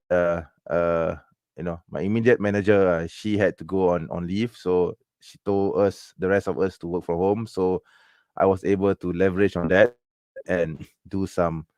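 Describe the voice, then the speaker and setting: A man with a Malaysian accent chats casually as a live-stream host. His voice is very low, and the sound is dry and close. He often repeats phrases as he goes. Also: a little mellow, no echo. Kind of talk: casual chat.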